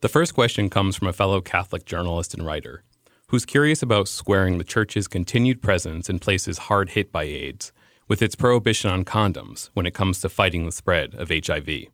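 A man hosting a podcast, giving scripted narration in a measured, earnest way. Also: sits low, expressive, fairly bright tone, no room sound, studio quality. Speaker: podcast host.

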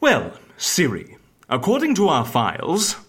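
A man playing a genial, slightly bumbling agency interviewer in an audio comedy drama, polite and musing with a husky voice. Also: on the high side, huge pitch swings, measured pace, fairly bright tone, a little hiss or hum, heavily acted. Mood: genial, wry.

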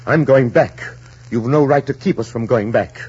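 A disgruntled crewman turned deserter, blunt and rough, with a husky mid-pitched voice. The delivery is 1940s radio drama, on a dull vintage recording. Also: moderate pace, darkish tone. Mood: defiant.

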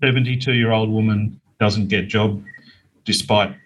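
Middle aged aussie bloke, low husky voice, chatting earnestly in an interview. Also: male voice, steady tone, a little slow, low-quality recording. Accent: Australian. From Australia. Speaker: interview guest, small business owner. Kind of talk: conversational interview answers.

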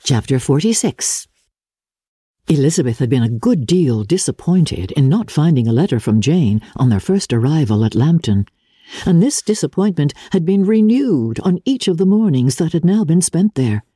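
Woman narrator, low mellow voice, dramatic literary reading, very expressive pitch, close dry sound. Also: normal speed, breathy edge, no echo.

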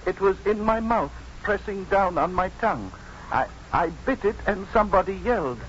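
An actor playing a meek, simple middle-aged manservant in 1950s radio drama, speaking haltingly and earnestly. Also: male voice, high-pitched, expressive. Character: meek, dull-witted, soft-hearted middle-aged manservant, once hanged. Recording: dull, noisy 1950s radio recording.

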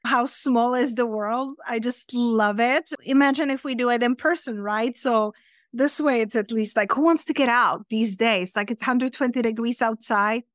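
A woman's voice: on the high side, normal speed, no room sound, studio quality. A woman in her thirties from the Czech Republic with a Czech accent, chatting as a podcast guest with many fillers. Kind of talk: casual interview chat.

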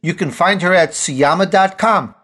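A male interview host with a slightly high voice who talks in an effusive, upbeat way. He heaps praise on his guests and sounds glad to have them on the show. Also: normal speed, fairly bright tone. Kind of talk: upbeat podcast interview hosting.

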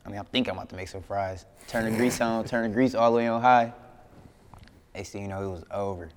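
A young man from Flint, Michigan, a rapper telling stories in a casual interview, laid-back and slangy, often trailing off quietly. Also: lowish voice, steady tone, fast, long reverb tail. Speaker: rapper guest. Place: indoor interview room.

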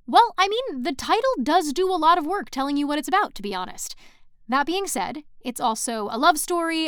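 A woman's voice: a little high, a bit bright, clear, resonant tone, dry recording, studio quality. A woman hosting a podcast, giving a chatty, enthusiastic scripted introduction. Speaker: podcast host and producer.

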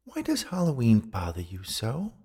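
A man voicing an older female ghost in a storybook, soft and airy, solemn and admonishing. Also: medium pitch, huge pitch swings, normal speed, husky, full character voice. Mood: soothing. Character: older female ghost, soothing, earnest, exasperated.

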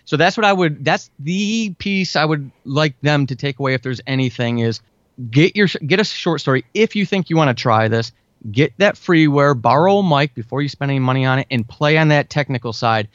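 A man's voice: on the high side, lively intonation, fairly quick, no room sound. A man speaking as a podcast guest, giving informal, conversational advice step by step in a casual interview. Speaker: podcast guest, writer and audio drama podcaster. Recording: dull, lo-fi, dry close sound.

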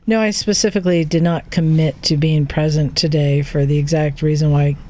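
A woman's voice: deep voice, fairly even intonation, fairly quick, mellow. A tired, jet-lagged woman telling trip stories in a casual group podcast conversation. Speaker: podcast regular recounting a trip. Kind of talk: casual group chat storytelling. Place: garage with other people talking. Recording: noisy room around a group microphone.

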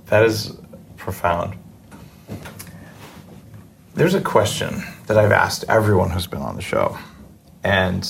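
A man hosting a podcast interview, talking in an informal, earnest and slightly halting way. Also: low-pitched, vocal fry, a little hiss or hum. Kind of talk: conversational interview.

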